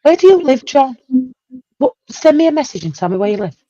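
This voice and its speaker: A woman's voice: sits high, very animated, fairly quick, mellow, no echo, highs cut off. A woman with a Yorkshire accent bantering in a cheeky, teasing way on a casual live stream.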